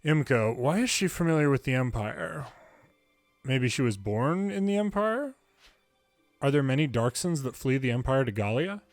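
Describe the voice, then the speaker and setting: Male reader, medium pitch, video game story dialogue, lightly acted, background music. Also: expressive, moderate pace, no echo. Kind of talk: reading game story dialogue aloud in English.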